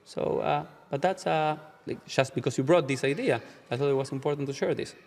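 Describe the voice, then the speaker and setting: A man from Argentina with an Argentine Spanish accent, speaking English as a later language. He is a rabbi answering interview questions in a measured way, slowing down to stress key ideas. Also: a little high, a little mellow, big-room sound. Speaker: rabbi, guest.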